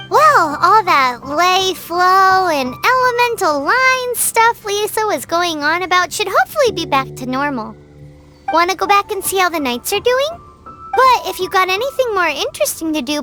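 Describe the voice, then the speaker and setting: An actress voicing a tiny, childlike girl fairy companion in a video game, squeaky and perky in manner. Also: big pitch swings, measured pace, heavily acted. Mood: relieved, chatty. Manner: squeaky, perky, speaks of herself in third person. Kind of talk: scripted video game voice acting. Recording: game music behind the voice.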